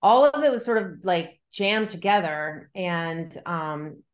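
A woman's voice: fairly low pitch, animated, slow. A middle-aged woman talking casually as an interviewed novelist, thinking aloud in loose, looping sentences. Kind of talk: casual conversational interview about writing.

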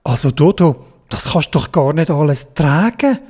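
Sensible storybook boy voiced by a man, fairly high pitch, kind, encouraging, Swiss German accent. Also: big pitch swings, normal speed, darkish tone, breathy edge. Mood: urgent. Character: kind, sensible little boy, comforting friend. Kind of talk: children's storybook character voice.

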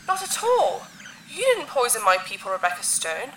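Commanding insectoid alien queen, regal and formal, bright high voice, sci-fi audio drama. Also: wildly swooping pitch, roomy sound, recorded from a distance, a little hiss or hum, rough recording. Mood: composed, formal. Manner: formal, imperious, measured phrasing.

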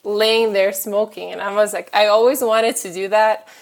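A woman chatting frankly and casually as a guest, pausing often with fillers and restarts. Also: medium pitch, fairly even intonation, gravelly, very noisy. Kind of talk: casual chat.

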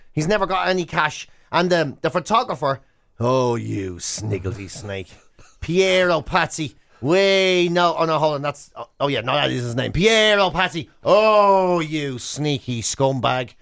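An Irish man with a notably high voice for a male, talking as a radio co-host. He banters comically, hammering points by repeating them emphatically. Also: a bit bright. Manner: mock outrage, emphatic repetition.

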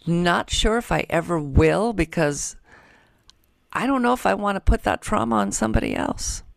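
A woman's voice: lowish voice, lively intonation, moderate pace, vocal fry, no echo. A middle-aged woman hosting in casual chat, animatedly acting out the quotes she retells. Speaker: book show host.

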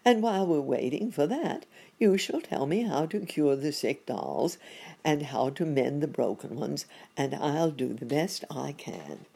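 A woman voicing a kindly, courteous old gentleman rabbit in a children's story, speaking in a calm, reassuring way. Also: low voice, creaky, slightly rough, heavily acted. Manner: reassuring, polite, unhurried.

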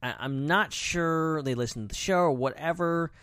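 A man's voice: fairly high pitch, moderate pace, fairly bright tone, clear, resonant tone, no room sound, studio quality. A man co-hosting a podcast in casual chat, talking informally in loose fragments peppered with "like". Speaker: podcast co-host.

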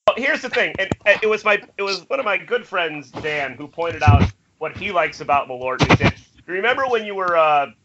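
A man's voice: sits high, expressive, moderate pace. A man chatting casually with friends on an internet call, telling stories in an emphatic, joking way. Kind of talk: casual storytelling among friends. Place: at home on a group video call. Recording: muffled, faintly noisy internet call.

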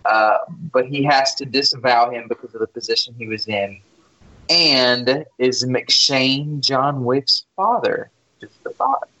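A man co-hosting a horror movie podcast in casual chat, relaxed and chuckling often, with plenty of fillers. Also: medium pitch, measured pace, dull audio. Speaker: podcast co-host.

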